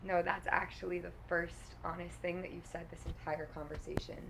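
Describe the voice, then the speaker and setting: A woman's voice: fairly low pitch, level tone, brisk, gravelly, background noise. A woman playing a wounded, insistent long-term girlfriend in a naturalistic film drama, pressing and emotional in her questioning. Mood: accusing.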